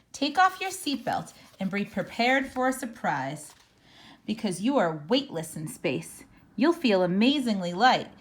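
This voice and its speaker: A woman reading a children's picture book aloud in a measured, expressive storytelling manner. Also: mid-range voice. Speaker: storybook reader.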